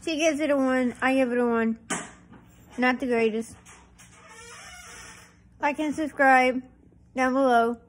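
A woman's voice: sits high, fairly even intonation, measured pace, long pauses, low-quality recording. A woman in her thirties casually reviewing snacks for a home vlog, talking plainly and homespun into a phone held at arm's length in her living room. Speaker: vlogger. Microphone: phone camera at arm's length.

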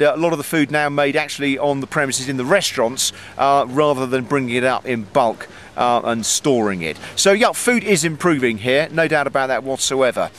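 A man's voice: mid-range voice, a bit bright, slight background noise. A middle-aged man giving a casual snow report to a camera held at arm's length, outdoors on a mountain, talking in a chatty way with many ums and dry asides. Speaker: ski reporter.

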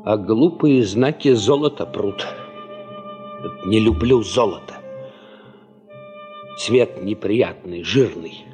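Tired gloomy middle aged geologist boss, low slow terse talk, old soviet radio drama. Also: male voice, darkish tone, faint music behind. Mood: brooding, bitter. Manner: terse, brooding, deliberate. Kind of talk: 1970s Soviet radio play acting.

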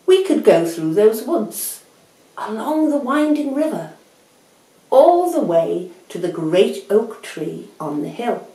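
Woman as an ancient, unhurried storybook tortoise. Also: a little high, sing-song, warm tone, echoey room, pitched above the performer's own voice, heavily acted, poor audio. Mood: calm suggestion. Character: ancient, wrinkled, slow, quietly determined male tortoise.

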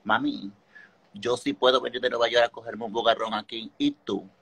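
A man's voice: medium pitch, steady tone, moderate pace, gravelly, a bit bright, breathy edge. A man with a Dominican accent, talking casually and sassily, dropping in English phrases. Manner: sassy, mixes English phrases into talk. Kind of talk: casual chat.

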